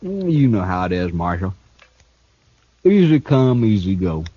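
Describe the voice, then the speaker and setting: An actor playing a cool, smart escaped killer and thief in a 1950s radio drama, talking with a smooth, taunting ease. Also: male voice, sits low, sing-song, measured pace, exaggerated voice. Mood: smug, taunting. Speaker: outlaw prisoner. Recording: dull old radio broadcast recording.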